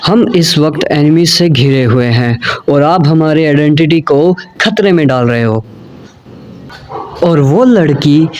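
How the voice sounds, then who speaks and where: A man's voice: fairly high pitch, expressive, takes it slowly, darkish tone. A man playing a wary, dutiful aide to a vampire king in an audio drama, earnest, respectful and admonishing. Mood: stern warning. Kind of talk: audio drama roleplay dialogue.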